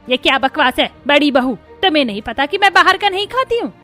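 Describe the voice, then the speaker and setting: A bossy matriarch from an animated family drama acted by a woman. Her voice is very high and she speaks in commands and reproaches, demanding to be obeyed. Light music plays behind her. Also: fairly quick. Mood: indignant scolding. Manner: scolding, commanding. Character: bossy, demanding older Indian mother-in-law. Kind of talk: Hindi cartoon story acting.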